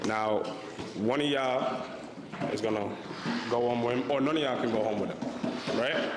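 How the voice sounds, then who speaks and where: A young man hosts a dating game with a handheld mic, prompting in a loose, slangy way and repeating his phrases. His voice rings around a big bare studio. Steady loud noise runs under the whole recording. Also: medium pitch, unhurried, reverberant, long reverb tail. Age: young adult. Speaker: host. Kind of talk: casual dating game hosting.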